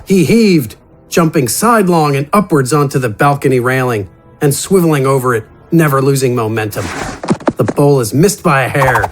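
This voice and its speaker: A man reading scripted fantasy adventure narration in a dramatic storytelling style. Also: mid-range voice. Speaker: narrator and voice actor. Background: music.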